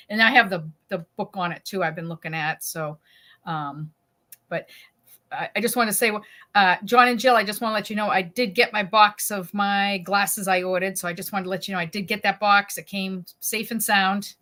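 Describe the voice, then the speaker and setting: A woman's voice: mid-range voice, sharp tone. A woman from New England with a New England accent, co-hosting a casual chat, laughing easily and trailing off mid-sentence. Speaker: co-host.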